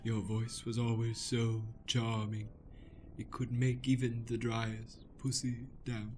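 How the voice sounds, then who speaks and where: A man's voice: mid-range voice, monotone, slow pace, darkish tone, a little hiss or hum, close to an everyday voice. A man playing a scheming, easily deflated witch, campy and melodramatic, in an improvised comic radio play. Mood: suave, sly. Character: theatrical villainous witch Matilda, scheming yet easily deflated.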